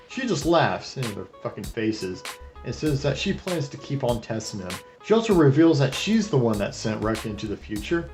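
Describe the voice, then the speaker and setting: A man's voice: medium pitch, darkish tone. Guy doing a wry anime recap w crude jokey asides, music playing behind him. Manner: sardonic, wry commentary. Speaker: host of an anime retrospective review. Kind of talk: narrated video review with crude joking asides.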